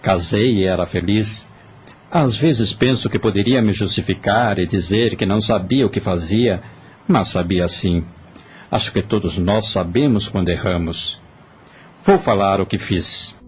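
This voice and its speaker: A man's voice: on the low side, a little slow, a little hiss or hum, natural voice. An actor voicing a weary, remorseful male spirit who confesses his wrongs earnestly, with a Brazilian accent. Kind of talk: Portuguese-language audio drama acting.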